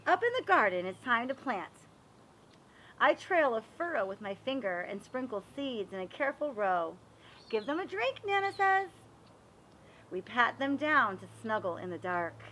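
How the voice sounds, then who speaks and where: A woman reads a picture book aloud for young children. Her voice is high and bright, with lots of melodic swing and an expressive, explanatory manner. There is faint noise in the background. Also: normal speed, poor audio. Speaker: read-aloud reader for children.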